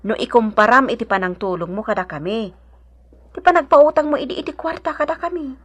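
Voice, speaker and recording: A woman's voice: fairly high pitch, a little fast, natural voice. An actress playing a helpful, kindly woman neighbour in an Ilocano radio drama, earnest and reassuring.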